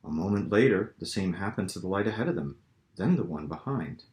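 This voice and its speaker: A man with a low voice narrates fiction as its author. His delivery is dramatic storytelling, and the room adds clear echo around him. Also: expressive, brisk, a little mellow. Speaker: author reading own novel. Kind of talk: dramatic audiobook-style narration. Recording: roomy, echoing room sound.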